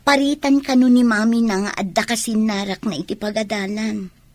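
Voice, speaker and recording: A woman's voice: a little high, fairly even intonation, normal speed, no echo, close to an everyday voice. A woman with a Filipino accent playing a torn, plaintive young student in love in a radio drama. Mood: sad, defensive.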